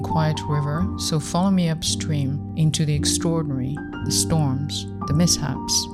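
A woman hosting a podcast, reading a scripted intro and then asking interview questions in a measured, deliberate way. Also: low voice. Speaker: podcast host.

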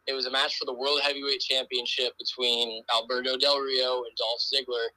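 A young man in his twenties talks on a podcast with a very bright, forward voice. He tells his story loosely and rambles, with lots of "like" and "um" in between. The sound is close and dry, with no room around it. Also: mid-range voice, normal speed, dry recording, poor audio. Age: 20s. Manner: relaxed, rambling storytelling with many "like" and "um". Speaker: podcast guest, pro wrestler. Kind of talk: casual podcast interview.

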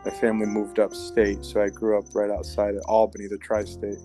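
A man's voice: lowish voice, monotone, brisk, over music. An American man of about forty from upstate New York, telling his story informally as an interview guest. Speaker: interview guest, army veteran. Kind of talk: casual personal storytelling.

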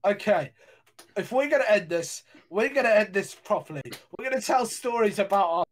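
A man's voice: high voice, expressive, moderate pace. A young man co-hosting a casual chat podcast, jokey and giggly as he swaps anecdotes. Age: young adult. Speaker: podcast co-host.

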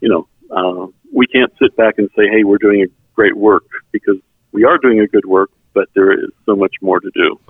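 A middle-aged man from South Texas whose first language is German, telling his personal story in an earnest, halting way as an interview guest. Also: on the low side, breathy edge, dry recording. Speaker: interview guest, member services volunteer. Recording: tinny phone-like sound, band-limited.

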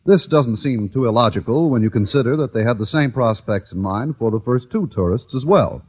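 A man's voice: medium pitch, animated, a little fast, a little mellow, breathy edge. A man with an American accent reading scripted announcements and narration in a 1950s radio style. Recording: muffled old-time radio recording.

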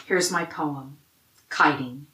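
A woman who is a poet, reading her own prepared words in a measured way. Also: low voice, sharp tone, echoey room, low-quality recording. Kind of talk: measured scripted poetry reading.

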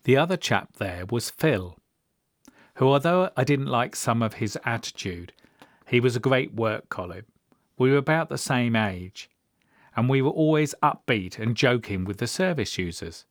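A man's voice: medium pitch, expressive, moderate pace, no echo, clean studio sound. A mature man hosting a podcast, narrating memories from his own life in a personal, storytelling way. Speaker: podcast host.

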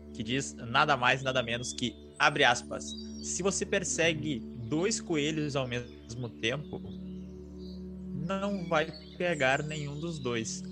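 Man, unhurried. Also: medium pitch. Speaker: podcast co-host. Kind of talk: casual podcast chat.

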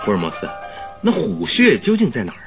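A man playing a detective's eager, loyal companion in a radio drama, with a very husky, questioning voice. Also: on the high side, huge pitch swings, fairly quick, music in the background. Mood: eager, concerned.

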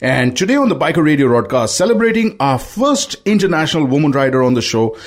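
Lively-pitched male podcast host. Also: fast. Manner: easygoing, announcer-like intros. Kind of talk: radio-style podcast hosting.

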